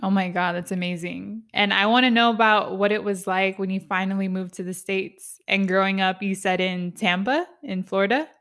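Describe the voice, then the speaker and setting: A woman interviewer in a relaxed conversation. She asks curious questions and keeps up a steady stream of small affirmations while her guest talks. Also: medium pitch, normal speed, hardly any pauses.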